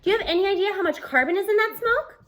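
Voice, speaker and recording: A woman's voice: very high-pitched, fast, faint music behind, pitched above the performer's own voice. A woman voicing an earnest young female teacher, preachy and eager, in a storybook reading. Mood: alarmed. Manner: bright-eyed, preachy, earnest. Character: earnest, eco-minded young female kindergarten-style teacher.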